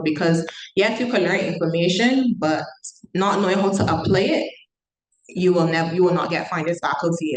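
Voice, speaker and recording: Emphatic Barbadian student, low voice. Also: female voice. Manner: emphatic, repeats words for stress. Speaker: medical student panelist. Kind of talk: answering questions at an open-day panel. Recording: echoey room.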